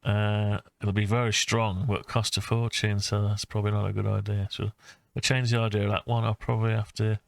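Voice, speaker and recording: Low, gravelly man thinking aloud. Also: level tone, dry recording. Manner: thinking aloud, hesitant, halting mid-phrase. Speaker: hobbyist maker presenting own design project. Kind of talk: informal screen-recorded CAD design walkthrough.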